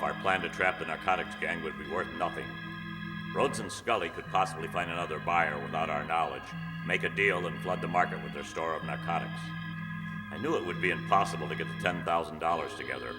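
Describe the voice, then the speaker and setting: An actor playing a matter-of-fact, deadpan police detective in a 1950s-style radio crime drama. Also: male voice, low-pitched, lightly acted. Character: male police detective narrating, posing as a drug buyer. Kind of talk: 1950s radio crime drama, clipped first-person narration. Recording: hall-like reverb, music underneath.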